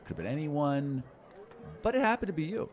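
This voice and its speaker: Halfling seeker for fantasy game, played by a man: small, jaunty, teasing, raised pitch, swooping delivery. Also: sing-song, a little fast, darkish tone. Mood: playful, cryptic. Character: chipper, cryptic little halfling seeker in official robes. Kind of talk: tabletop role-play.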